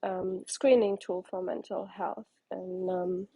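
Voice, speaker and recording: A woman's voice: lowish voice, flat delivery, slow pace, vocal fry, dark tone, husky, rough recording. A woman from Portugal in her thirties, a startup founder answering interview questions in a rambling way with many ums and restarts.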